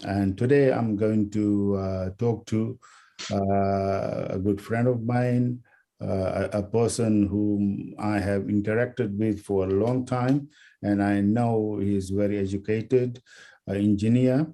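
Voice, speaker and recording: Slow, dark-voiced host from India. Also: male voice, lowish voice, steady tone. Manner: halting, heavy with filler sounds. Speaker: interview host. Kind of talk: hosting a recorded dialogue.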